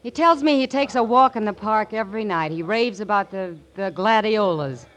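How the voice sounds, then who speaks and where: A woman's voice: mid-range voice, moderate pace, lightly acted. An actress with an American accent playing a brassy, impatient chorus girl in a 1940s radio crime drama, snappy, slangy and defiant. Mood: guarded, curt.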